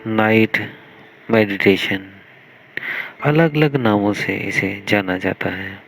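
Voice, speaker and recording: A man with an Indian accent leading a guided meditation in a measured, instructional way, pausing between short phrases. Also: fairly low pitch, vocal fry, a bit bright, rough recording. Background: music.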